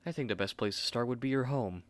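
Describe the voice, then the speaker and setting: A man's voice: medium pitch, fast, no room sound, lightly acted. A man playing a haughty, fussy young manga artist in an ASMR roleplay, speaking curtly and articulately to the listener on a close mic. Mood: haughty, inquisitive.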